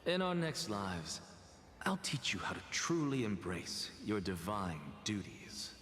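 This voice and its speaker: An actor playing a proud, wry professor in a video game, theatrical and measured, with a sardonic fondness. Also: male voice, on the low side, very animated, a bit bright, a little hiss or hum. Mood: wry, fond. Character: proud, wry male professor, dryly fond. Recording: long reverb, music behind.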